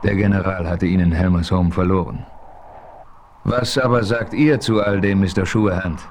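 Man playing a deep-voiced frontier hero. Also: noisy background, close to an everyday voice. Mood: firm. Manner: calm, measured, authoritative. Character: seasoned frontier hero narrating his adventure. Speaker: narrator. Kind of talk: 1970s radio play narration.

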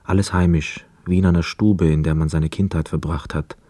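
An actor playing a reflective, weary homecoming soldier in a radio play, with measured, lyrical phrasing. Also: male voice, low-pitched, fairly even intonation, warm tone, no room sound, clean studio sound. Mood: musing. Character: weary young war returnee, reflective, dreamy wanderer. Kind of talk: literary radio play monologue and dialogue.

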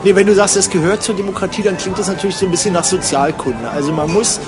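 A man's voice: sits high, fast, music in the background. A man in casual, rambling conversation, exclaiming and mock-dramatic in manner.

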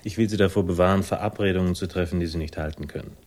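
A cool, laconic man, played by an actor in a 1960s radio thriller. His voice sits fairly low, and he delivers dry irony with a quiet threat underneath. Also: steady tone, racing, lightly acted. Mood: quietly menacing. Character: cool sardonic man confronting his sister's lover, quietly menacing. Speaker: first-person narrator and lead character. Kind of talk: 1960s German radio crime drama acting.

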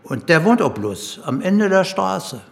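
A gossiping doorman who drops hints in a conspiratorial way. He talks slowly, with a gravelly fry in his voice. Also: sits high, very animated, full character voice. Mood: gossipy. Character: gossipy man, a building doorman.

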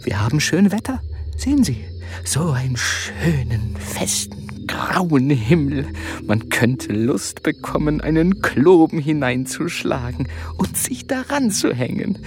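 A haunted, fatalistic soldier, acted by a woman in a radio drama. The voice is low and very slow, halting and often whispered. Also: very animated, over music, full character voice. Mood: brooding. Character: tormented, haunted poor soldier, servile and fatalistic. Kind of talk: theatrical German radio drama acting.

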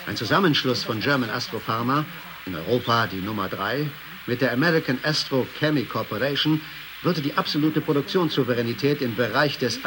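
Guy acting as a sober radio news reporter, formal and measured, medium pitch, noisy background. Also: lightly acted. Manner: formal, measured newsreader delivery. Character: sober male stock exchange news reporter. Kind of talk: scripted broadcast news report in a radio play. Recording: clearly noisy background ambience.

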